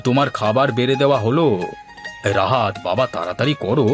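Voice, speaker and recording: A man's voice: medium pitch, a little fast, close to an everyday voice. A man voicing a poor, worried but affectionate middle-aged village farmhand, a husband and father, in a cartoon. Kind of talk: cartoon voice acting. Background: plucked mandolin music.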